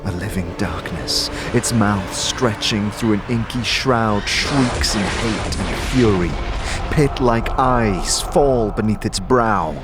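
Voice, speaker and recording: A man's voice: lowish voice, slow pace, few pauses. A man delivering dramatic, scripted fantasy narration as the narrator of an audio drama. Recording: loud music behind the narration.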